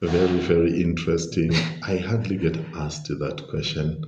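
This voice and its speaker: A middle-aged man with a Zimbabwean accent, giving measured, genial, explanatory answers as an interview guest. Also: sits low, fairly even intonation, noisy background. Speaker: podcast guest, leadership coach and pastor. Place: restaurant. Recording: dull, roomy, echoing sound.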